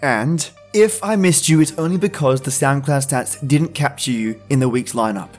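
A man's voice: medium pitch, normal speed. A man hosting a podcast, speaking directly to his listeners in an outro style. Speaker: podcast host. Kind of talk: scripted podcast outro with listener shout-outs. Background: music.

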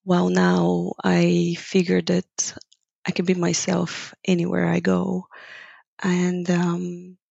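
A woman's voice: on the low side, completely flat delivery, slow, creaky, mellow, husky, no room sound. A woman from Bulgaria, a guest on an interview who speaks thoughtfully, searches for her words and laughs lightly. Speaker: guest, yoga teacher. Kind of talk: reflective interview conversation. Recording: dull, dry lo-fi recording.

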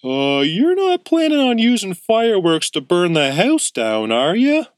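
A man's voice: sits high, wildly swooping pitch, unhurried, clean tone, no room sound, clean studio sound, voice pushed up, exaggerated voice. A man doing a wry, easygoing uncle. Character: laid-back, wry adult uncle.

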